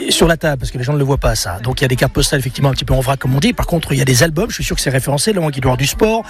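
A man working as a radio reporter, doing a chatty, teasing roving interview at an outdoor flea market with a handheld mic. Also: medium pitch. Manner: chatty, teasing, quick questions. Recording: crowd noise behind.

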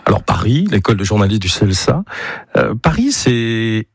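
A man's voice: on the low side, big pitch swings, moderate pace, vocal fry, husky, no echo, studio quality. A man doing a casual radio interview as the host, teasing the guest with rambling asides.

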